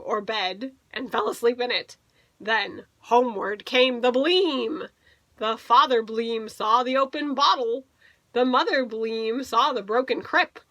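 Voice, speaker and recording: A woman teacher reading a picture book aloud to children, playfully expressive, stretching words for emphasis and adding asides. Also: fairly high pitch, no echo.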